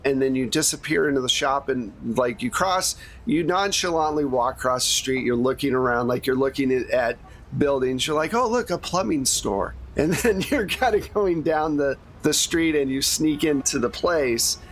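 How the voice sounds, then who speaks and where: A man with a medium-pitched voice acts as game master for a tabletop session. He describes scenes on the fly in a loose, conversational style. Some noise sits behind his voice. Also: moderate pace. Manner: casual, conversational. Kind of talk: improvised tabletop game narration.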